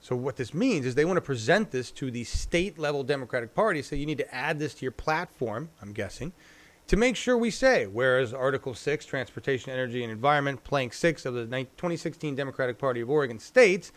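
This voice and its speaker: A man hosts a casual live-stream, talking things through out loud. He rambles and restarts as he goes. His voice sits at an ordinary middle pitch. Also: animated, fast. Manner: rambling, chatty, thinks out loud. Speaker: show host.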